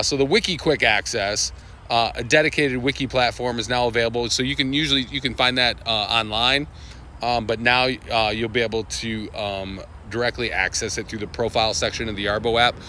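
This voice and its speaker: A man's voice: mid-range voice, sharp tone, slight background noise. A man hosting a casual live walkthrough of product updates, talking loosely with lots of fillers and restarts. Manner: loose and rambling, with many fillers, restarts and asides. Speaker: livestream host presenting product updates.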